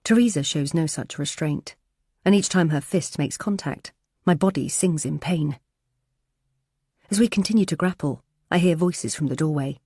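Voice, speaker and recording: Female audiobook narrator, low and dark, measured delivery, dry close sound. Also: husky, no room sound. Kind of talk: first-person thriller narration.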